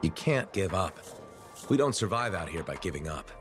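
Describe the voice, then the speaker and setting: A man's voice: deep voice, very animated, normal speed, music in the background. A man playing an easygoing, wry freelancer pilot in a sci-fi video game. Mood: friendly, wry. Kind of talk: video game dialogue acting.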